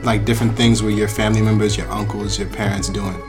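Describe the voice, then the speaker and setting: Low-voiced easygoing male host over music. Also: little pitch movement, quick pace. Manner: easygoing, affirming, slangy. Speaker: podcast host. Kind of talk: casual conversation. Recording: music playing clearly behind.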